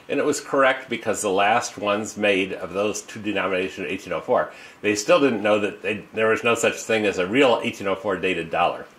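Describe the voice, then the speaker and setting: Older man, lowish voice, rambling, lots of fillers, seated in an office. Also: fairly bright tone. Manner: rambling, with many uh fillers and restarts. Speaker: coin dealer and auctioneer. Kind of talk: informal storytelling to camera. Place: office with desk and leather chair, indoors. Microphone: fixed camera, seated at desk.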